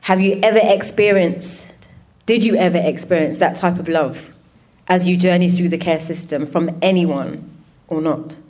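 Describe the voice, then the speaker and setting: A woman moderating a conference panel, gently asking questions without hurrying. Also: on the low side, normal speed.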